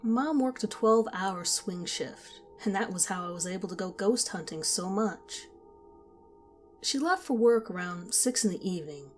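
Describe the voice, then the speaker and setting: A woman reading a first-person horror story aloud, in a quiet, measured storytelling voice. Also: medium pitch. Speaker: narrator. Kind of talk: scripted horror story narration.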